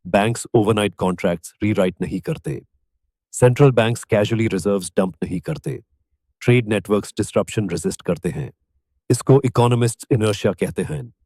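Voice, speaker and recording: A man with an Indian accent narrates a documentary from a script. His voice sits on the low side with a dark, mellow tone. The delivery is measured and declarative, landing on short emphatic phrases, and the sound is close and dry. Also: dry recording.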